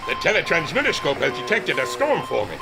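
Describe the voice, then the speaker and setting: An actor playing an earnest, excitable inventor and airship captain in a retro adventure radio drama, with formal, theatrical diction. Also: male voice, fairly high pitch, fairly quick, creaky, over music, heavily acted.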